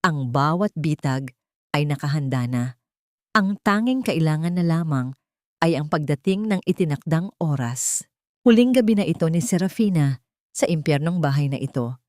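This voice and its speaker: Low-voiced woman narrating a drama. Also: a little mellow, no echo, clean studio sound. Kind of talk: scripted dramatic story narration.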